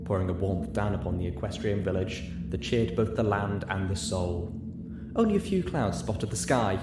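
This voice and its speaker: A man's voice: lowish voice, very noisy. A man reading a story aloud as narrator, in scripted storytelling narration. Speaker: narrator of a fan fiction reading. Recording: roomy echo. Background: music.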